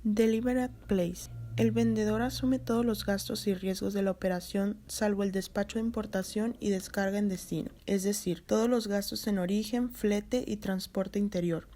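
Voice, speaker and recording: A woman presents prepared explanatory material in a dark, relaxed voice. Her pitch is medium as she reads out the lesson. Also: moderate pace. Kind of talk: scripted explanatory narration.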